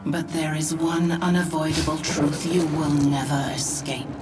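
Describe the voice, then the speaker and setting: A woman playing a calm, menacing spectral goddess in a game scene, speaking slowly and deliberately. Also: sits low. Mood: cold taunting. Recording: loud game music over distant voice.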